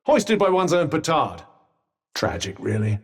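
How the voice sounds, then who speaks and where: A sardonic talking magic cuff from a video game, with a British accent and a fairly high-pitched voice. It gives urgent combat advice in eloquent, dryly witty phrasing. The voice sits a little away from the mic. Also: male voice, big pitch swings, unhurried, breathy edge, recorded from a distance, exaggerated voice. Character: talking magic cuff, dry witty male battle companion. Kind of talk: video game companion voice lines.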